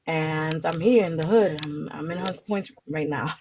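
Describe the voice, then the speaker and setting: A woman's voice: low voice, a little slow, a little mellow. A woman giving casual interview answers, informally and hesitantly, searching for her words. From the Bronx, New York, United States. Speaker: interview guest, community organizer. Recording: dull lo-fi audio with background noise, clicks.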